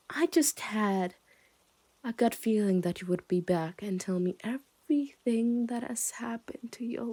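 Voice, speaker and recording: Female actor as possessive yandere captor, ASMR roleplay: unhurried, dark soft tone, smug and menacing, slipping into whispers. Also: mid-range voice, heavily acted. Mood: smug, hushed. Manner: slow, teasing, drifts into breathy whispers. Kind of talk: ASMR roleplay, addressed to the listener.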